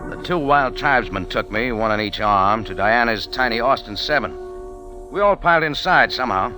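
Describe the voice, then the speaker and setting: A man's voice: medium pitch, expressive, moderate pace, lightly acted, poor audio. A man playing a wry, tough adventurer with an American accent, narrating his story in dramatic old radio style. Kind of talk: 1940s-50s hardboiled radio drama narration. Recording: vintage radio, music behind.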